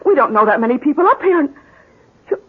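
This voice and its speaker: An anxious wife in a 1970s radio play. Her high voice moves widely in pitch as she pleads in halting phrases. The sound is narrow and muffled like an old broadcast. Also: animated. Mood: shocked, disbelieving. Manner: emotional, halting, breathy sighs and repeats. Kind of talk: 1970s radio drama acting.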